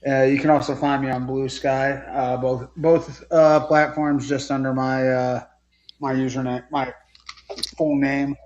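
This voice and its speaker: A man chatting casually and haltingly as a panelist on a live sports show. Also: mid-range voice, flat delivery, a little mellow. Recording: muffled lo-fi audio with clear noise.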